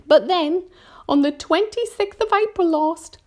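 Woman putting on an anxious, high-pitched lady spider. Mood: mournful, melodramatic. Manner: dramatic, drawn-out, lamenting delivery. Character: anxious, melodramatic, mournful female spider in a storybook.

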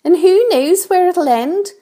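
A woman's voice: extremely high pitch, expressive, a little slow, dark tone, voice pushed up. A woman voicing a gloomy, pessimistic storybook earthworm who grumbles and complains. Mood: gloomy, grumbling.

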